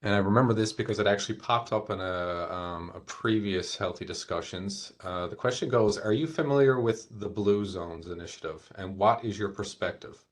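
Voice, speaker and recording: A man moderating a webinar Q&A, reading audience questions aloud in a calm, measured way. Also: on the low side. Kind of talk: hosting a webinar Q&A.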